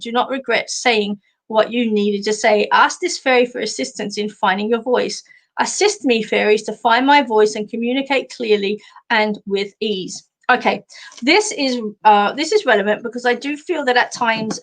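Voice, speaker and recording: An Australian woman with a husky voice chats through a psychic card reading. Her pitch sits a little high but barely moves, and she rambles gently with plenty of fillers and restarts. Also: poor audio. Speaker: psychic medium and life coach. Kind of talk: casual live-stream card reading.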